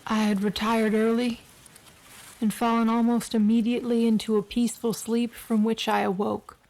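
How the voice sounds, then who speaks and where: A woman playing the ghost of a murdered wife, telling a gothic tale in a measured, formal, literary manner. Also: on the high side, flat delivery, darkish tone, a little hiss or hum, natural voice, rough recording. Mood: solemn, uneasy. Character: murdered wife's ghost, calmly recounting her death. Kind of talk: dramatic first-person reading of a gothic tale.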